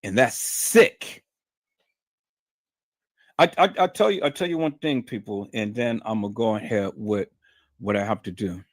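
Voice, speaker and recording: A man's voice: fairly low pitch, a little slow, long pauses, no echo, clean studio sound. A man hosting a live stream, talking straight to his viewers in an emphatic, preachy way and often repeating his words. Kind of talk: casual monologue to viewers.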